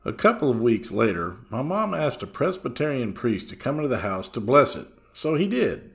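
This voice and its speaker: Guy reading a true scary story, pitch moves around a lot, medium voice. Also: big pitch swings, moderate pace, vocal fry, soft background music. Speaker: narrator reading a listener's true ghost story. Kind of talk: scripted first-person storytelling narration.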